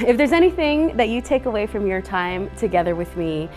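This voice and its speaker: A woman's voice: medium pitch, moderate pace, faint music behind, poor audio. A woman speaking earnestly and unhurriedly to children as a guest reader, with a few fillers. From Boise, Idaho. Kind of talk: gentle talk to kids.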